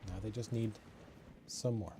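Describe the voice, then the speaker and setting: A man's voice: lowish voice, fairly even intonation, moderate pace, mellow, a little hiss or hum. A man from Norway chatting casually while playing a video game on stream, muttering and joking at himself. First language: Norwegian. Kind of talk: casual gameplay commentary.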